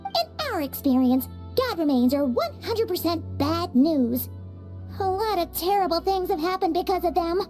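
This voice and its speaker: A woman voicing a tiny, excitable, cheeky childlike female fairy in a video game, squeaky, chirpy and dramatic. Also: moderate pace, soft background music. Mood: puzzled, worried. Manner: chirpy, dramatic, speaks of herself in third person.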